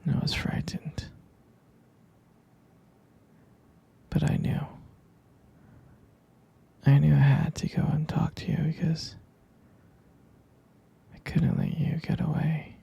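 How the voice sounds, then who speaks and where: Gentle half-vampire boyfriend, performed by a man, ASMR roleplay. Heavy vocal fry, drowsy halting whispers, unhurried and soothing. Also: on the high side, flat delivery, heavily acted. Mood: soft, affectionate. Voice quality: breathy, husky whisper, gravelly fry.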